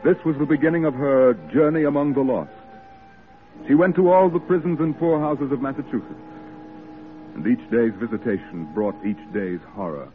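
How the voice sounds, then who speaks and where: A muffled old broadcast with faint music behind a male announcer. He has a mid-pitched voice and narrates formally, in declamatory 1940s radio fashion. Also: normal speed.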